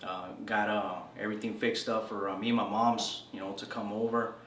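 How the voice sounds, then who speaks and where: A man's voice: fairly low pitch, flat delivery, a little fast, fairly bright tone, a little hiss or hum. A man in his thirties from El Salvador, telling his life story in a casual, slangy interview. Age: 30s. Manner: laid-back slangy storytelling, says "bro" a lot. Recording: echoey room from a distance.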